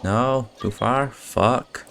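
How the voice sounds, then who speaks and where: A man streaming a game with casual, low-key, muttered commentary and offhand swearing. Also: drawn-out words, gravelly, fairly bright tone. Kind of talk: casual live playthrough commentary.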